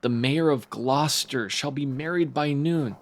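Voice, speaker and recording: A man's voice: mid-range voice, unhurried, lightly acted. A man voicing a poor, worn-down old tailor in a storybook, lamenting plaintively. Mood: weary despair.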